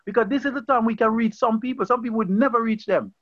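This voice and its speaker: Earnest lay preacher, man, high pitch, conversational and repetitive, muffled call audio. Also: fast, no room sound. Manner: earnest, conversational, repeats phrases and restarts. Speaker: pastor leading an online Bible study. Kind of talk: informal sermon on a video call. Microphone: video call.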